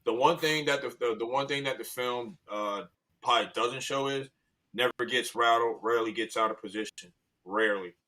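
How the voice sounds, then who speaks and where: A man's voice: mid-range voice, brisk, sharp tone, low-quality recording. An American man giving casual sports opinions as a panelist, emphatic and repeating phrases to drive his point.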